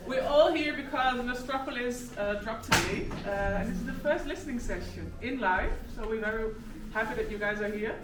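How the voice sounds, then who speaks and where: A woman hosting a live listening party and Q&A, upbeat and a little halting with fillers. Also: a little high, background noise. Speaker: event host. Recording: echoey room, far off, crowd.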